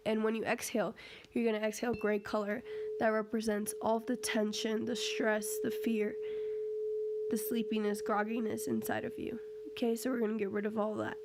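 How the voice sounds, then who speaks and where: A woman guides a meditation at a medium pitch. She speaks gently and in measured phrases, leaving pauses between instructions. A clearly audible humming tuning-fork tone runs behind her voice. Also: flat delivery. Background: crystal tuning fork tone, hum.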